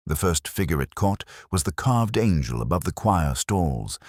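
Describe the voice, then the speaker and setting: A man with an extremely low voice narrates scripted detective fiction in the first person. His delivery is measured and sombre, with a reflective literary feel, and his pitch moves expressively. The recording is close and dry. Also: husky, no room sound.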